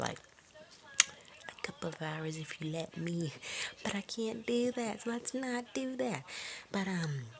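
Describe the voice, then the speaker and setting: A woman giving a casual, rambling film review in an informal, chatty way, often interrupting herself with tangents. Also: low voice. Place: her room at home. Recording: clearly noisy home recording with clicks.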